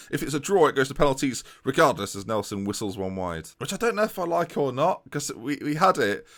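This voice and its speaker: Lively man commentating a game. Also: a little high. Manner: chatty, excitable, self-mocking, trips over words. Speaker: gaming YouTuber. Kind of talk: casual let's-play commentary.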